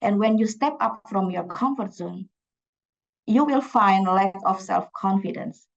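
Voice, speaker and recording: A woman's voice: medium pitch, measured pace, vocal fry, dull audio. A young woman from Indonesia with an Indonesian accent, a PhD student presenting at a conference, speaking with frequent fillers and restarts. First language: Javanese. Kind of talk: conference talk. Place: conference room.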